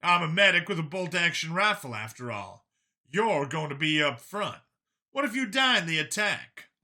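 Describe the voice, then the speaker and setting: A man puts on a cocky medic and hunter for an audiobook. The voice rides high and swings widely, with a sharp, forceful edge. He is combative and folksy, prone to exclaiming. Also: big pitch swings, moderate pace, full character voice. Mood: insistent. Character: brash, cocky older human medic and hunter, male. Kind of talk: acted audiobook dialogue.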